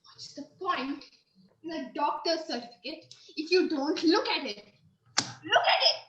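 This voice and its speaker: A woman's voice: high-pitched, moderate pace, muffled recording, close to an everyday voice. A woman playing a pushy, self-pitying petitioner in a comic play, insistent, plaintive and melodramatic. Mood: insistent, indignant. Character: pushy, wailing, self-pitying wife petitioning officials, relentlessly stubborn. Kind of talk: comic stage-play acting. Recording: roomy, band-limited recording.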